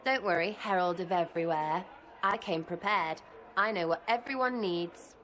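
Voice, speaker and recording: A woman's voice: on the low side, normal speed, fairly bright tone, slight background noise, close to an everyday voice, low-quality recording. An actress playing a cheeky, scrappy young woman rogue in a video game, speaking bluntly and slangily in rambling sentences. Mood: playful bravado.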